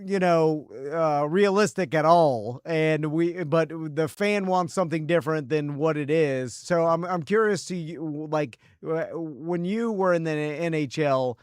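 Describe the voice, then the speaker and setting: Chatty forties man co-hosting, slightly high voice. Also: a little slow, few pauses, no echo, clean studio sound. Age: 40s. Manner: rambling, many fillers, laughs easily. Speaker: podcast co-host. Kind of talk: casual podcast chat.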